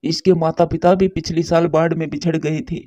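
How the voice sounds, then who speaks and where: Mellow, kindly uncle bird, played by a man. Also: on the high side, flat delivery, brisk, no echo, natural voice. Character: kindly calm grown male bird, avuncular. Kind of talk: Hindi cartoon story character voice.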